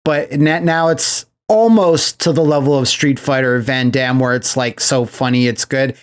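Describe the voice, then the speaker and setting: A man's voice: mid-range voice, steady tone, normal speed, smooth. A man hosting a podcast in casual film chat, telling stories and acting out quoted reactions. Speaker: podcast host. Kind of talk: casual chat about films.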